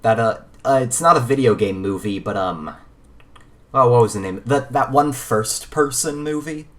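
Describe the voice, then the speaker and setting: A man with a medium-pitched voice chats casually as a podcast co-host. His delivery is conversational and a little halting as he works toward what he means. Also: fairly bright tone. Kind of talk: casual chat about games and films.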